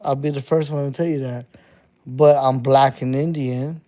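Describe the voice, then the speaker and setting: A man's voice: mid-range voice, fairly even intonation, moderate pace, mellow. A man in his thirties, an underground rapper, talking bluntly and profanely to his live-stream chat, repeating himself as he goes. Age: 30s. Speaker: underground hip-hop artist streaming to chat. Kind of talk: casual live-stream monologue.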